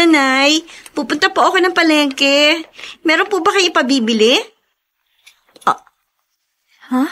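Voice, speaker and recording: An actress playing a caring, anxious young wife in a radio drama, coaxing and fussing with concern. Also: female voice, high-pitched, a bit bright. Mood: puzzled, uneasy.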